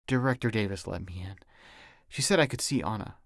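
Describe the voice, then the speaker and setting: A man voicing an anxious husband, fairly low. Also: lively intonation, brisk, gravelly, no echo, clean studio sound. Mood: miserable, pleading. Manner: hesitant, submissive. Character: anxious, apologetic husband of a wounded agent.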